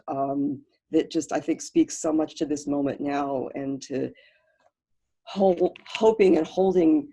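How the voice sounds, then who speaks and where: Low-voiced woman, earnest and soft-trailing. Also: breathy edge. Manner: earnest, many restarts, trailing off softly. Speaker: panelist, professor. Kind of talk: reflective panel talk on a video call. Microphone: video call.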